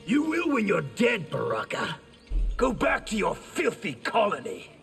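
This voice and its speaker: A man's voice: sits high, wildly swooping pitch, a little slow, gravelly, fairly bright tone, exaggerated voice. An actor playing an arrogant male rebel warrior in a fighting game, boastful and contemptuous. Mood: scornful. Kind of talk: video game fight intro dialogue. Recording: long hall reverb, music behind.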